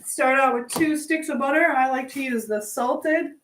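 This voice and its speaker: A woman's voice: high-pitched, moderate pace, a bit bright, slight background noise. An American woman chatting casually with livestream viewers from her home kitchen, reading out and answering their comments as she cooks. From United States. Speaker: livestream host. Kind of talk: casual live chat with viewers while cooking.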